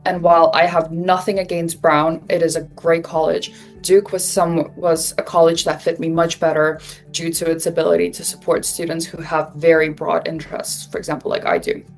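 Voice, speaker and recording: A woman's voice: low voice, little pitch movement, moderate pace, faint music behind. Young woman student giving college advice. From Russia, raised in Switzerland. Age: young adult. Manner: informative, with frequent "um" fillers. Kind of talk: webinar presentation.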